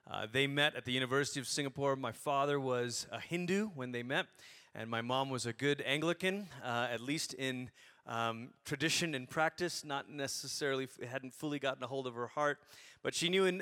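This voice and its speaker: A man in his forties from Malaysia, preaching a sermon through animated, humorous stories. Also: mid-range voice, clean tone, no room sound, studio quality.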